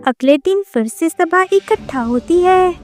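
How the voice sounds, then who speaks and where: High-pitched female storyteller over music. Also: lively intonation, unhurried. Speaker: narrator. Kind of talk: scripted storytelling narration. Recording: music behind the voice.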